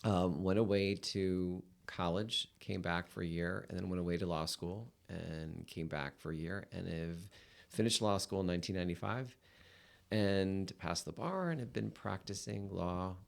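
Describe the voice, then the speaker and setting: Podcast guest: gravelly, low-voiced man in his fifties, chatty storyteller. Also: normal speed. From United States. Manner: rambling storytelling with fillers. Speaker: podcast guest, real estate attorney. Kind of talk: casual interview chat.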